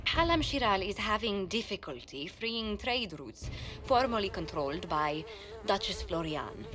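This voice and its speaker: Bright forward female voice, refined young diplomat, formal, proper, video game, slight ambient noise. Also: mid-range voice. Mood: dry, official. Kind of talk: video game cutscene acting.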